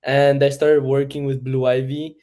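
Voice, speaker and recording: Teen guy student introducing himself, medium pitch, pretty flat tone, casual. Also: moderate pace. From born in Spain, grew up in the United States. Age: late teens.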